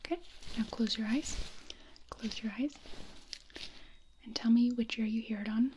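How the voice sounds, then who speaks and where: A woman doing an ASMR medical roleplay as a doctor, mostly whispering breathily and softly, up close to a binaural microphone. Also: fairly high pitch, fairly even intonation, moderate pace, background noise. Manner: soft, up-close personal attention. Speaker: ASMR roleplayer playing a doctor giving an exam.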